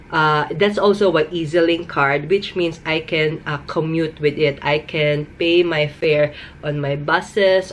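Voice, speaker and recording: Low-pitched Filipino woman vlogging over noise. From Philippines. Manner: emphatic, repeats words for emphasis. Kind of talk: casual advice vlog. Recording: noticeable background noise.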